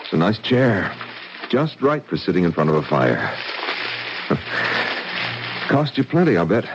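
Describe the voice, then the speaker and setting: An actor playing a blunt, forceful middle-aged businessman and widower in a vintage radio mystery. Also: low voice, very animated, slow pace, over loud music, heavily acted. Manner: blunt and forceful, speaks his mind. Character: blunt, tough-minded male businessman widower. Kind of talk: vintage radio drama acting. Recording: dull old radio broadcast.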